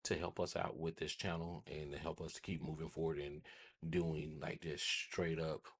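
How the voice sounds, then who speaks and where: An American man with a husky voice hosting a casual software tutorial, rambling informally and often checking in with listeners. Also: rumbling low, little pitch movement, moderate pace. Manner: informal, rambling, repeats check-in tags like "right?" and "okay?". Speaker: host of a tech tutorial channel.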